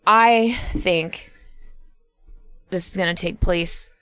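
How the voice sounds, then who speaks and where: A woman's voice: on the low side, takes it slowly, fairly bright tone, clean tone, no room sound. A woman co-hosting a comedy podcast, improvising casually with joking, profane banter. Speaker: podcast co-host.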